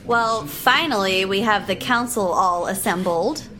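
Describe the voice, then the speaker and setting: A woman's voice: medium pitch, measured pace, noisy background, close to an everyday voice. Woman acting as icy, condescending councilwoman. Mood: exasperated. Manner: condescending, pointed. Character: irritated, icy, haughty councilwoman with plastic politeness. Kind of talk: audio drama acting.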